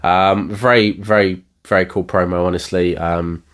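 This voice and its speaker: Croaky-voiced man, low pitch. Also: fairly even intonation, moderate pace, a bit bright. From England. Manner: rambling, lots of ums and uhs. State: croaky voice recovering from illness. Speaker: card game channel host. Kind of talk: casual solo video update.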